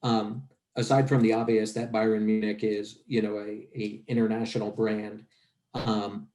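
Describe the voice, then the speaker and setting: A man's voice: lowish voice, vocal fry, mellow. A man moderating a webinar panel, affirming the guests and asking long questions.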